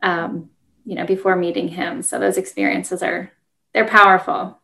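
A woman's voice: lowish voice, little pitch movement, moderate pace, gravelly, pushed and bright. An American woman interviewing a guest in a conversational, unscripted way.

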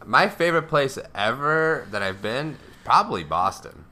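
A man co-hosting a podcast, joking around in animated casual chat. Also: mid-range voice, normal speed, heavy background noise. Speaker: podcast co-host.

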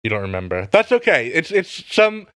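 A man's voice: a little high, huge pitch swings, fairly quick, fairly bright tone, dry recording. A man interviewing conversationally, asking long, chatty questions.

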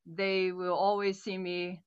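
A woman's voice: lowish voice, little pitch movement, takes it slowly, clean tone, dull audio. Cantonese-accented woman recalling her past. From Hong Kong. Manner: thoughtful, frequent fillers and restarts. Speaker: politician. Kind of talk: interview answers.

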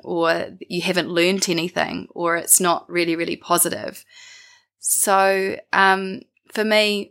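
Croaky New Zealand woman, thoughtful. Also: lowish voice, fairly bright tone. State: croaky from a winter bug. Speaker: interview guest. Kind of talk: reflective interview conversation.

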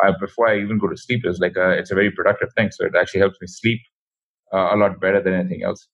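Fast-talking man with a husky voice. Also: deep voice, flat delivery, dull audio. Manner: fast, rambling, frequent restarts. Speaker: guest, ecommerce founder. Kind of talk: casual interview talk.

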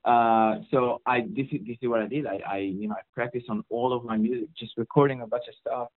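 A man's voice: medium pitch, fairly even intonation, a little fast. A young man with an Israeli accent speaks in a loose, rambling way, full of "you know" and "like" and restarting his sentences as an interview guest. The sound is narrow and tinny, like a phone line, with no room echo at all. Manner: rambling, many fillers and restarts. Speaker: guest, mixing engineer. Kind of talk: casual interview. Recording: dry, phone-like audio.

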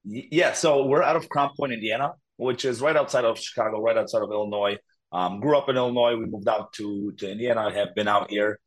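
A man's voice: lowish voice, steady tone, fairly quick, poor audio. A man in his thirties from Poland, a guest talking casually with easy laughs and many fillers. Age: 30s. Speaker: guest, public adjuster. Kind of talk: casual interview talk.

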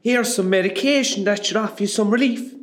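A woman acting in a radio play as a brisk, gossipy, kindly hospital nurse. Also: mid-range voice, background noise, lightly acted. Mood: chatty, starstruck. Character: chatty, nosy, kindly female hospital nurse, a little starstruck.

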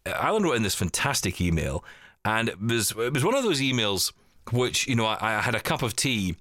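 Lively low-voiced man chatting. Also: no echo, clean studio sound. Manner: chatty storyteller, quick asides. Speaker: podcast host. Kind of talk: casual chat.

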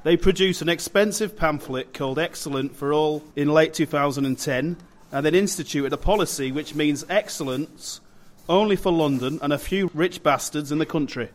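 A man's voice: a little high, moderate pace, few pauses, lightly acted. Man performing angry theatre director, male, bitter, indignant, declamatory rant. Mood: bitter, furious.

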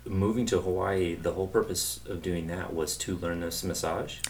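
A man's voice: deep voice, fairly even intonation, normal speed, slight background noise. A man hosting a casual interview, easygoing and hesitant, with many fillers. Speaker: podcast host.

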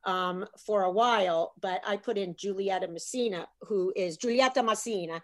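A middle-aged woman, an author, talking in a lively, chatty way and slipping into bits of Italian. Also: medium pitch, moderate pace. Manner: animated, chatty, slips into Italian phrases. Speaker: author guest. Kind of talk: casual video chat.

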